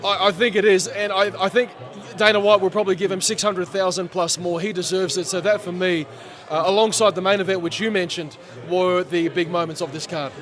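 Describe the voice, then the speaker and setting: A man, an event MC giving post-fight reactions, animated and chatty and losing his voice. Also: high voice, fairly quick. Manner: animated, chatty, laughs often. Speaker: MC, guest. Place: sports bar at a casino.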